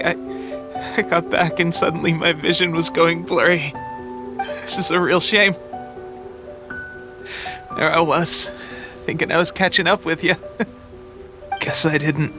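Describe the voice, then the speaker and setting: An actor playing an earnest young soldier in a video game, sounding weak and tired, halting as he goes. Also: fairly high pitch, fairly even intonation, close to an everyday voice. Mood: tired, wistful. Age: young adult. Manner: halting, trailing off. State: weak, weary, coughing. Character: weakened young male resistance soldier, earnest and cheerfully brave. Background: background music.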